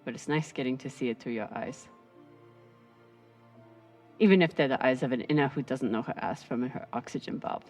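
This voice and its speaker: An actress playing a tough, sardonic spacer woman in a video game, dry, teasing and commanding, gruffly fond underneath. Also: rumbling low, brisk, long pauses, darkish tone, faint music behind. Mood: sardonic, steady. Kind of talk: video game cutscene acting.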